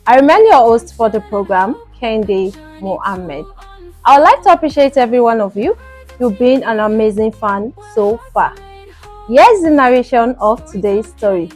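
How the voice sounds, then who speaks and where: A woman's voice: a little high, animated, moderate pace, darkish tone, faint music behind. A woman with a Nigerian accent hosting a story programme, telling a story conversationally with rhetorical questions. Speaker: storytelling show host. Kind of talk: narrated personal story.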